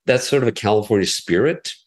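A middle-aged American man, a radio executive, answering interview questions in a measured, explanatory way with frequent fillers. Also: on the low side, lively intonation. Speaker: classical radio station president, guest.

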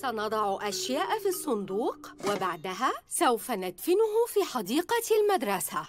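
A woman's voice: a little high, very animated, normal speed, music in the background. A woman voicing a kind, patient female antelope teacher in a dubbed cartoon. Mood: encouraging. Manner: patient, encouraging.

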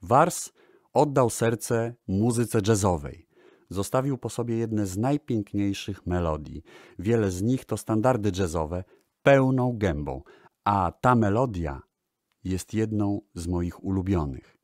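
A man hosting a music history show, narrating in a measured, formal radio style and addressing the listeners. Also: lowish voice, expressive, a little mellow, no room sound, studio quality. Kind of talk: radio-style narration about old recordings.

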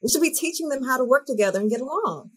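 A middle-aged woman playing a diplomatic but firm school principal in a dramatized meeting, speaking in a measured way on a video call. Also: high voice, lively intonation, husky. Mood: firm, reasoning.

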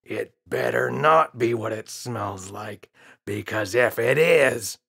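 A man's voice: medium pitch, slow pace, creaky, fairly bright tone, breathy edge, exaggerated voice. Man playing a stern, scolding storybook dad. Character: gruff, stern, irritated father.

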